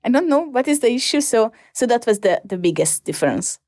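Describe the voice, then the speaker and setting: A young woman with a Hungarian accent, answering interview questions in a hesitant, casual way with many pauses and fillers. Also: on the high side, sing-song, darkish tone, no echo, clean studio sound. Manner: hesitant, many ums and restarts. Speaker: interview guest.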